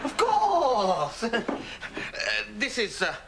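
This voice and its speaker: A man's voice: extremely high pitch, wildly swooping pitch, extremely slow, heavy background noise. A middle-aged man with a Pakistani English accent, playing a pompous, blustering father in a sitcom, with nervous laughs. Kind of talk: sitcom acting.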